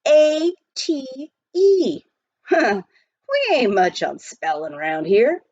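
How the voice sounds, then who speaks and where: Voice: sits high, very animated, a little mellow, dry recording, dull audio, voice pushed up, heavily acted. Woman voicing a brash, chanting frontier auctioneer man. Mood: grinning, sly. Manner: repetitive rapid auction chant. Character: brash male frontier auctioneer, fast-chanting, sly grinning huckster. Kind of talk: children's storybook character voice.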